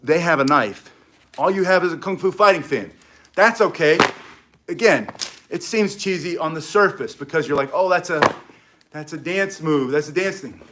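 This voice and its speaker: A middle-aged man teaching stick self-defense in an energetic, chatty way, with short punchy phrases. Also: on the high side, normal speed, noisy background. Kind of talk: casual instructional demonstration.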